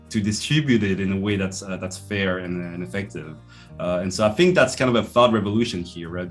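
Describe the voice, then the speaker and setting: A man in his thirties from French-speaking Switzerland, with a French accent, answering interview questions thoughtfully over a video call from his office, his sentences trailing off softly. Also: on the low side. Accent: French-speaking Swiss. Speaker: guest, professor. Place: office with bookshelves. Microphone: video call webcam.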